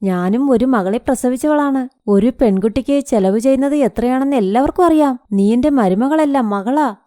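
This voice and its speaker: Generous cartoon mother-in-law played by a woman: middle-aged, comforting, high register, dry studio recording. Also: moderate pace, warm tone, no room sound, lightly acted. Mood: reassuring. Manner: reassuring. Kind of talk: cartoon moral story acting.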